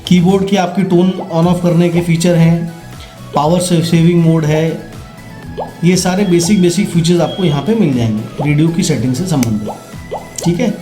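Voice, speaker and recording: Indian guy explaining a gadget step by step, kinda high voice, roomy echo, some music behind. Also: normal speed, mellow. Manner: explanatory, checks in with listeners using tag questions. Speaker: product demonstrator. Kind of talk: casual instructional product demo.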